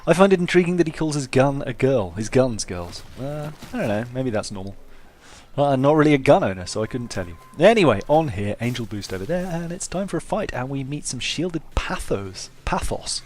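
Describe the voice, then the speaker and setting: A man's voice: mid-range voice, big pitch swings, a little fast, a little mellow, slight background noise. A man giving casual playthrough commentary with wry, self-deprecating humour. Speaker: gaming video host.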